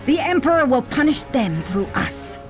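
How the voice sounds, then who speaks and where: A stern, devout warrior abbess for a video game. Her voice is high and sweeps widely with imperious, grandiose zeal, over prominent music. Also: very animated, moderate pace. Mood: resolute. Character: zealous commanding battle nun leader, stern and pious. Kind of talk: theatrical video game voice acting. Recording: loud game music behind.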